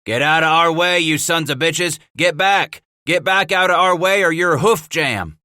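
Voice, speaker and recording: A man's voice: a little high, a little slow, dry recording, clean studio sound, voice pushed up. Man doing a hollering trail boss. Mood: fierce. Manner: loud commanding hollering. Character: bold frontier mountain man leading a horse raid.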